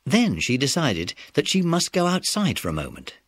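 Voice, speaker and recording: A man's voice: mid-range voice, huge pitch swings, normal speed, a bit bright, husky, dry recording. A British man narrating a scripted children's story.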